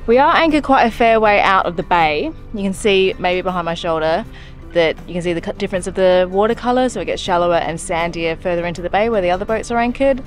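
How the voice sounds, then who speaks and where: Easygoing sailing vlog woman, 30s, arm's-length camera on deck, soft music under. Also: lowish voice, quick pace. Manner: easygoing, matter-of-fact explaining. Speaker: sailing vlogger. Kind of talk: casual vlog narration. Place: on deck of a catamaran at sea, outdoors. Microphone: handheld camera at arm's length.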